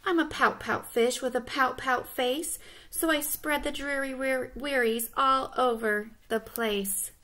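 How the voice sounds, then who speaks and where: A woman's voice: sits high, fairly even intonation, measured pace, bright, forceful tone. Woman playing a mournful, gloomy storybook fish. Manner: rhyming, resigned, mournful. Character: glum, gloomy male pouting fish, resigned to sadness. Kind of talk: children's storybook read-aloud.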